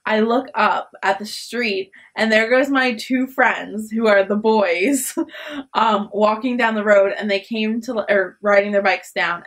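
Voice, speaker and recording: A woman's voice: medium pitch, a bit bright. A young woman hosting her own vlog, telling a funny story in a chatty way with lots of fillers and laughs. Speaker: vlog host. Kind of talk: casual storytelling.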